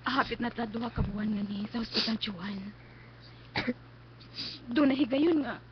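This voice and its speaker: A woman's voice: a little high, slow pace, long pauses, slight background noise. A woman with a Cebuano accent acting an anguished, pleading blinded patient in a radio drama, drawing out her words emotionally. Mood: hurt, needy. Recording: dull lo-fi radio stream, music behind.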